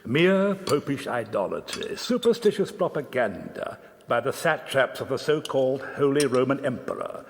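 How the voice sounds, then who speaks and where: A man's voice: mid-range voice, very animated, moderate pace. Old Scots steward in his sixties, radio drama: lecturing, formal, swooping delivery, echoing room. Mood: pedantic, disdainful. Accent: Scottish. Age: 60s. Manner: lecturing, formal, scornful of superstition. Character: pedantic, frugal old Scottish steward and librarian in his sixties.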